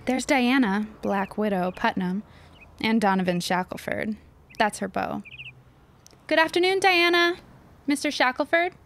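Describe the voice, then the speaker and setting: An actress as a teenage schoolgirl in a period audio drama, in a fairly high voice. She is a gossipy schemer, emphatic and melodramatic in her delivery. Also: animated, measured pace, pauses often, fairly bright tone. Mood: sociable. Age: teenage character.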